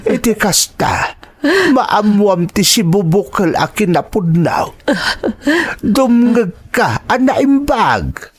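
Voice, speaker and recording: Man acting husky, agitated old Ilocano father-in-law. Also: sits high, very animated, full character voice. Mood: pleading, placating. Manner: halting, repetitive, emotional. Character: elderly, agitated but placating father-in-law. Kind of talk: Ilocano radio drama acting.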